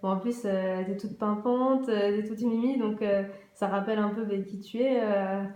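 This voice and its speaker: A woman hosts an informal interview with a soft-edged, mellow tone. Her questions come loosely and casually, with hesitations as she finds her wording. The space around her voice is clearly echoey. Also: medium pitch, fairly even intonation, normal speed. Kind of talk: informal live festival interview. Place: echoey festival venue. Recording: echoey room sound.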